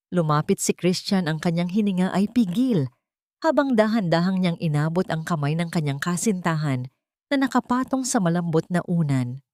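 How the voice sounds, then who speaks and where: A woman with a Filipino accent narrating a dramatic story in a solemn, measured way, close to the microphone. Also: low-pitched, a little mellow, dry recording.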